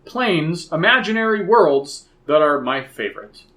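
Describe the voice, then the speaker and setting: Bright, fairly high-voiced man chatting casually. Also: big pitch swings, a little slow. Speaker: podcast co-host. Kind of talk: casual group chat.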